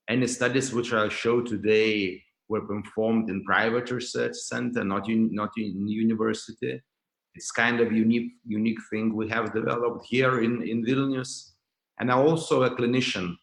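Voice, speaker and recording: A roomy, echoing video-call recording of a man with a Lithuanian accent. His voice sits fairly low as he presents an academic lecture online, haltingly, doubling back on words. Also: fairly bright tone. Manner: halting, repeats words and restarts phrases. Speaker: dental professor and clinician giving a lecture. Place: indoors, remote video call.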